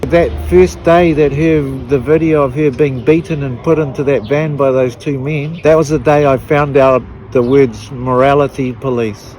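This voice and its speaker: A middle-aged woman with a New Zealand accent, giving an emotional personal testimony in an earnest, halting way with frequent restarts. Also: extremely low pitch, fairly even intonation, a little mellow, slight background noise, poor audio. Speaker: activist interviewee.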